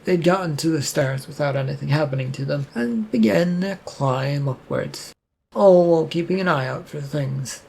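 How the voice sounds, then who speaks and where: A man with a raspy voice reading scripted story narration. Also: fairly high pitch, moderate pace, a little mellow.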